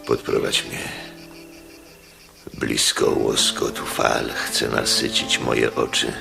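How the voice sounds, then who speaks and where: An actor playing a weary, brooding, imperious aged Roman proconsul in a radio play, speaking solemnly and haltingly in a gravelly voice. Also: male voice, extremely low pitch, completely flat delivery, exaggerated voice. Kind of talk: Polish radio play acting. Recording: music under the voice.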